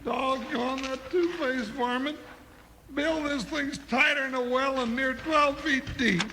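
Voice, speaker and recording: Casting: folksy, grumbling western sidekick, very high creaky voice, drawl, old radio western. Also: male voice, a little slow. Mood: gloomy grumbling. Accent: American Western drawl. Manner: folksy, exclamatory, complaining. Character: Jingles, folksy excitable grumbling cowboy sidekick. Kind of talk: 1950s radio western acting. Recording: noisy old radio recording, long reverb.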